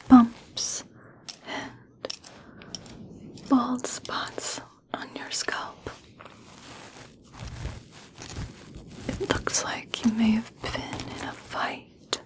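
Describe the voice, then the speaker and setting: A woman playing a gentle, caring, curious young elf princess in a whispered, soothing ASMR personal attention roleplay. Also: on the high side, level tone. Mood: tender concern. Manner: soft, slow, soothing whisper.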